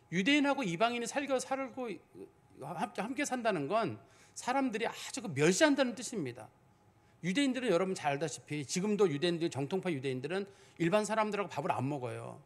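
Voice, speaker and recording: A male pastor giving a sermon, explaining in a conversational way and asking rhetorical questions. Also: sits high.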